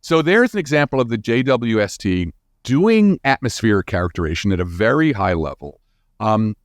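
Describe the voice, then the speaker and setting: A man's voice: mid-range voice, dry recording, clean studio sound. A man explaining science as an interview guest in an informal, enthusiastic, conversational way.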